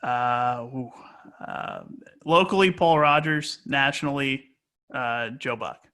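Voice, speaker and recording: A man's voice: medium pitch, takes it slowly, bright, forceful tone. A man speaking as an announcer being interviewed, answering casually and hesitantly as he thinks aloud. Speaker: sports play-by-play announcer, interview guest.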